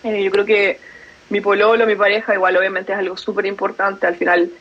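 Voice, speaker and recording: A woman's voice: mid-range voice, flat delivery, brisk, lo-fi sound. A young adult woman from Chile with a Chilean Spanish accent, chatting casually as a podcast guest and laughing easily between thoughts. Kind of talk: casual conversational interview.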